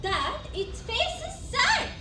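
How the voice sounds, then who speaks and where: A bombastic, scheming teacher acted by a woman with an Indian English accent declaims in a comic stage play. The delivery is theatrical and mock-solemn, swinging widely in pitch. It is picked up from far off in an echoey, noisy space. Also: sing-song, slow, exaggerated voice. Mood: pompous reasoning. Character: bombastic, scheming physics teacher running a sham oral exam. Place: college event stage, distant microphone.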